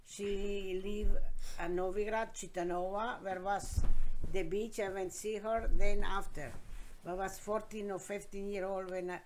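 A woman, being interviewed by her grandkids and telling her story in plain, halting, broken English. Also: medium pitch, darkish tone, slight background noise, low-quality recording. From Croatia. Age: 70s. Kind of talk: casual interview.

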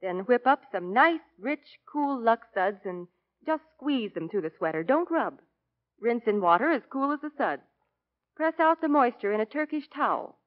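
A woman's voice: fairly high pitch, big pitch swings, normal speed. A woman spokesperson delivering a scripted 1940s radio commercial. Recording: dull 1940s radio broadcast.

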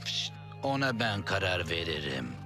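A low, gloomy cloud lord. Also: male voice, sing-song, unhurried. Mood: contrary, sour. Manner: glum, self-important, contrary. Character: gloomy, fussy male lord of autumn rain clouds. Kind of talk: children's cartoon dub. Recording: loud music bed.

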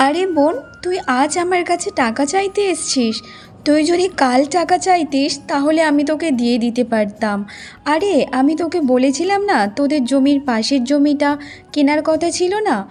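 A woman's voice: sits high, normal speed, a little mellow, lightly acted. A woman voicing a boastful, rich, stingy elder sister in a cartoon fairy tale, talking down and making excuses. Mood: smug, dismissive. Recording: music behind the voice.

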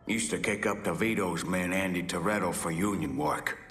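An actor playing a gruff, bitter working-class dock union man in a video game, blunt and profane. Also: deep voice, normal speed, a bit bright, a little hiss or hum. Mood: grumbling, bitter.

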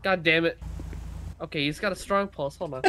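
A man's voice: on the high side, normal speed, bright, forceful tone. A man roleplaying a police officer in casual, improvised game chat. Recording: game voice chat, faint noise.

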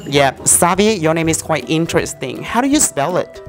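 A man's voice: a little high, animated, moderate pace, soft background music. Young Vietnamese man presenting. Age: young adult. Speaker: host, English learning presenter. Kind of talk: casual interview and presenting. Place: indoor café-like open room. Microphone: handheld lavalier mic.

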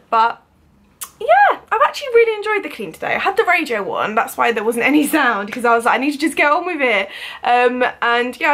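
A woman's voice: high-pitched, fairly bright tone. A British woman in her twenties chatting casually to camera in an informal, self-deprecating way, like a home vlogger. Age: 20s. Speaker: cleaning and lifestyle vlogger. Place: indoors at home, living room and kitchen.

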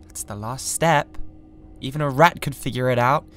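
A British man playing a cold, condescending mad scientist with a god complex in an ASMR roleplay, speaking in a deliberate, theatrical way. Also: mid-range voice, close to an everyday voice. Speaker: ASMR voice actor. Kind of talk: ASMR roleplay, scripted drama.

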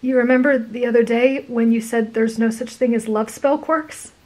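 A woman voicing a soft-spoken young woman who speaks sincerely, with small hesitations. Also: on the high side, steady tone, normal speed, pitched above the performer's own voice, close to an everyday voice. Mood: tender.

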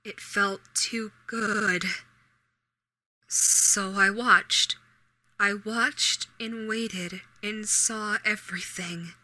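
An obsessive doppelganger posing as an ex, acted by a woman in a horror audio roleplay. The female voice is bright and crisp-edged at a middle pitch, halting and intimate, as if addressing one listener. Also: fairly even intonation, full character voice, low-quality recording. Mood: hushed, obsessive longing. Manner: halting, stammering, soft-spoken in menace. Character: obsessive shapeshifting doppelganger posing as a nervous, pleading ex.

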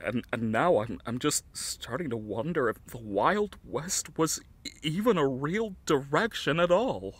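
A man's voice: medium pitch, animated, measured pace, a little hiss or hum, close to an everyday voice. A man voicing a manic, theatrical cartoon ringmaster made of dentures, with a bombastic, overenthusiastic showman's manner. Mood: fragile, rattled. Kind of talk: animated cartoon voice acting.

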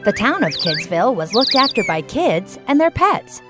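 A woman tells a children's bedtime story at a medium pitch. Her delivery is very animated and clearly enunciated, with music playing behind her. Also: normal speed. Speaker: narrator. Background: background music.

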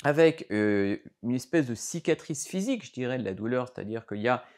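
A middle-aged male doctor lecturing straight to camera, his pitch rising and falling in an animated sing-song as he explains. He talks with his hands and keeps stopping to restart a phrase or drop in a filler. The sound is close and clean, like a lapel mic in a studio. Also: a little fast. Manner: lively, explains with hand gestures, many fillers and restarts. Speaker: doctor giving a health lesson. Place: studio before a green backdrop.

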